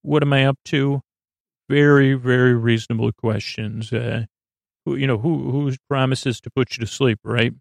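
A man's gravelly, creaky voice, recorded close and dry. He hosts a bedtime podcast in a meandering monologue meant to send listeners to sleep, stammering and wandering off on tangents. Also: mid-range voice, steady tone, normal speed, no echo. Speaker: podcast host.

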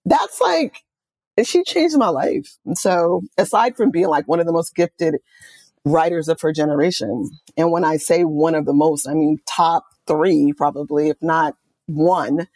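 A woman's voice: deep voice, a little slow, no room sound. A middle-aged woman from Oakland, California, an author and music journalist, telling stories with enthusiasm in an interview. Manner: enthusiastic, animated storytelling with quoted asides. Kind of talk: conversational interview.

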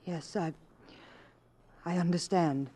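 A woman's voice: lowish voice, steady tone, slow pace, mellow. An actress playing an earnest, anxious, principled woman in a 1950s radio drama, with a pleading manner. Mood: hushed, worried. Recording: vintage 1950s radio broadcast.

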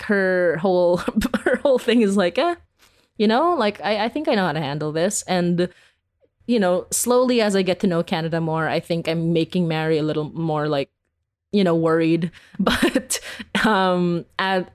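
A woman from the Philippines speaks as a guest in a relaxed interview. Her voice is a little low for a woman. She is informal and giggly, thinking aloud in chatty, looping phrases. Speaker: interview guest, horror audio drama creator. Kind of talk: casual, chatty interview answers.

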